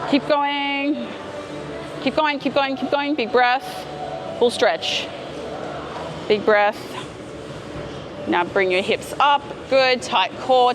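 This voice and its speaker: A woman's voice: fairly high pitch, rough recording. A woman fitness coach explaining and cueing a workout as she hosts a gym vlog. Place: indoor gym.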